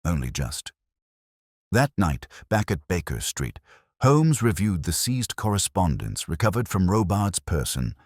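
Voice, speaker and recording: A man narrates a detective story with theatrical care. His voice is extremely deep and moves expressively through the scripted prose, with a dry sound free of room reverb. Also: sing-song, unhurried. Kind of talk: scripted dramatic audiobook narration.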